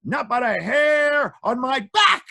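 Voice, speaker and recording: A man's voice: sing-song, slow, gravelly, sharp tone, heavily acted. A man voicing frightened, forgetful little pigs in a comic fairy tale. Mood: flustered. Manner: squeaky, flustered, panicky.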